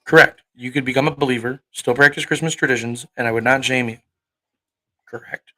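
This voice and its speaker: A man's voice: medium pitch, steady tone, a little fast, pushed and bright, low-quality recording. Thirties male livestream preacher, mid-range voice, combative and profane, fires rhetorical questions. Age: 30s. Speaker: online preacher. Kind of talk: livestream talk answering chat.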